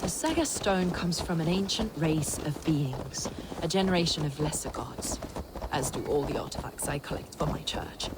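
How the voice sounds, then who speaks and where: An actress playing a devout, cryptic heretic curator of sacred relics in a video game, speaking in a measured, formal, reverent way. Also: female voice, low-pitched, lively intonation, heavy background noise. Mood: preaching, solemn. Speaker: game character. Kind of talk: dramatic video game acting.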